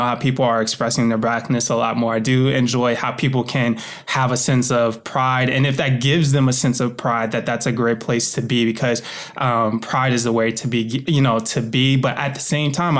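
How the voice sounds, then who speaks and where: A man's voice: medium pitch, little pitch movement, normal speed. A man speaking in an informal, reflective way as a podcast guest and producer, in a conversational style. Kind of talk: conversational interview and listener address.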